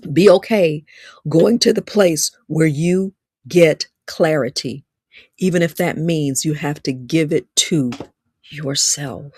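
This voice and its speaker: A woman's voice: sits low, takes it slowly, a little mellow, breathy edge, no room sound, clean studio sound. A woman in her fifties with an Alabama accent gives a livestreamed motivational talk, emphatic and preacher-like, repeating lines for stress. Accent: Southern US, Alabama. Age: 50s. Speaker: relationship speaker.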